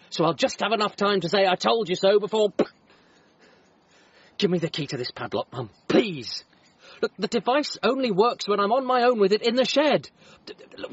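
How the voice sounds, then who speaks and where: An actor playing an earnest, frantic son out to save the world, narrating and arguing urgently in a radio comedy drama. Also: male voice, high voice, breathy edge, full character voice. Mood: agitated, pleading. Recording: dull lo-fi radio, music underneath.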